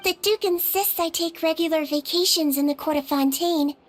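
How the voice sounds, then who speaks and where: A woman voicing a small, gentle young girl nurse of a fairy-like species, polite and composed, in anime video game dialogue. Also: very high-pitched, moderate pace. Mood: friendly. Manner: composed, courteous, matter-of-fact. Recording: music bed behind the voice.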